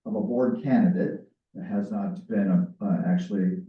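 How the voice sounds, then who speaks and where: A man chairing a library board meeting, speaking haltingly with frequent filler sounds. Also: low-pitched, steady tone. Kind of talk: running a public board meeting. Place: council meeting room. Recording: echoey chamber, distant tinny mic.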